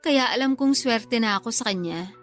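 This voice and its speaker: A woman's voice: on the high side, lively intonation, moderate pace, fairly bright tone, soft background music. A woman acting a teasing, guilty lover in a secret affair, with a Filipino accent, in a radio love drama. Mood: candid, defensive.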